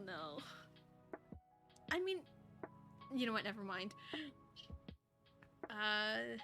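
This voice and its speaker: A woman's voice: on the high side, pushed and bright. A woman streamer reading a story aloud in a playful, giggly way, with casual asides. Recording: music behind the voice.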